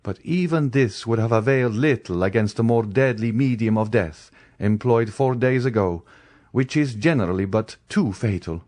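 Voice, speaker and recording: A man voicing a grave, calm nobleman who gives measured, solemn warnings in a protective, fatherly way. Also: medium pitch, dry recording, studio quality, close to an everyday voice.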